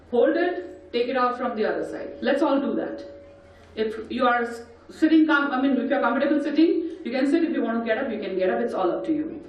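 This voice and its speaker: A woman with an Indian English accent and a husky voice, leading a group through breathing and sound exercises and counting the steps aloud. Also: on the high side. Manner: instructive, counts aloud and guides the group step by step. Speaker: voice and breathing workshop leader. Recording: echoey hall, distant, dull mic.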